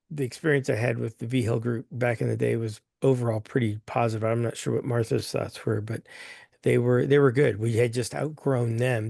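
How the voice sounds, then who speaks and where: A man chairs a board meeting in a hoarse, gravelly voice, with plenty of "um"s and restarts as he works through the items. The recording is dry and close, with no room echo. His pitch sits in the middle range for a man. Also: darkish tone. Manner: plain, rambling, with many fillers. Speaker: governing council chair.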